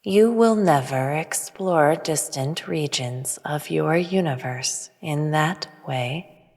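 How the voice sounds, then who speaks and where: An otherworldly female intelligence, played by an actress, pronounces cosmic truths with calm superiority. Her voice is low and slow, formal and measured, in long reverb over music. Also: exaggerated voice. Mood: cool, dismissive. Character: otherworldly all-knowing female entity, cryptic, calmly superior. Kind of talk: sci-fi audio drama.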